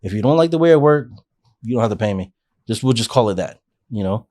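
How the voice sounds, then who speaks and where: A man's voice: medium pitch, animated, fast, warm tone. A man in his forties, a podcast guest, telling his life story in an earnest, rambling way with frequent restarts. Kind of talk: casual life-story interview.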